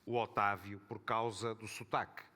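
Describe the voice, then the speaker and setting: Emphatic bright-voiced man with a Porto accent. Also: on the low side, flat delivery, normal speed, in a large hall. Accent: Porto Portuguese. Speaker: panelist. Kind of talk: panel discussion at a club event.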